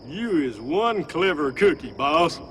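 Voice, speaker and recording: A man's voice: a little high, big pitch swings, slow pace, fairly bright tone, a little hiss or hum, full character voice. An actor playing a brash, dim-witted cowboy henchman in a video game, with a folksy, cocky Southern US drawl. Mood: dopey, folksy. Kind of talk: video game cutscene acting.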